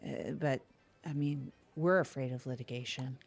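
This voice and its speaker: A woman's voice: rumbling low, normal speed, vocal fry, husky, heavily acted. A woman playing an anxious, naive small-town podcast co-host, talking earnestly in a rambling, repetitive way on a comedy show. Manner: earnest, rambling, repeats herself. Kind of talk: improvised mock local-news podcast chat.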